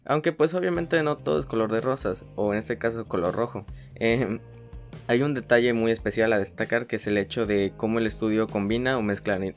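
Male reviewer, lowish voice, chatty and filler-heavy, soft music bed. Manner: chatty, frequent filler "pues", restarts. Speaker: anime reviewer. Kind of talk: casual video review voice-over. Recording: voice-over with faint music behind.

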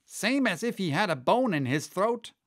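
Storybook beast voiced by a man: bossy, boisterous gryphon, pitched well up, loud and exclamatory. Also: very animated, normal speed. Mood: gruffly amused. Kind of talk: storybook character reading.

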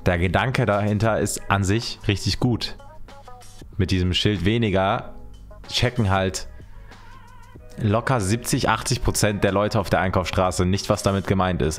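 A man's voice: on the low side, moderate pace, soft background music. A man in his twenties giving casual, colloquial reaction commentary as a YouTuber, close on a desk microphone. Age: 20s. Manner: colloquial, chatty. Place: small room at a desk at home.

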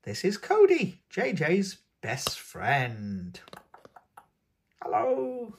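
A man's voice: sits high, huge pitch swings, extremely slow, vocal fry, a little hiss or hum. A man reading a picture book aloud to toddlers in a sing-song, coaxing tone as host of his own channel. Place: indoors at a tabletop, hands showing a book.

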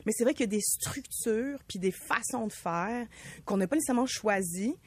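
A woman from Quebec, an animated radio guest who talks with enthusiasm and drops in English phrases. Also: fairly high pitch, normal speed, husky. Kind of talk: casual radio interview.

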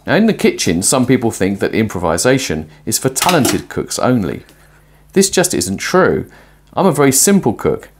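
A man in his forties talking to camera while cooking in a small home kitchen, conversational and musing, with lively emphasis. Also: mid-range voice, normal speed, breathy edge. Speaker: presenter of a cooking and philosophy video. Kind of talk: casual explanatory talk to camera while cooking. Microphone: lapel mic.

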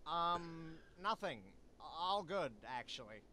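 A man's voice: a little high, animated, slow pace, pushed and bright, slight background noise, full character voice. A man voicing a nervous, whiny, foul-mouthed animal scavenger in a cartoon game, hesitant and exasperated. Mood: nervous, reluctant.

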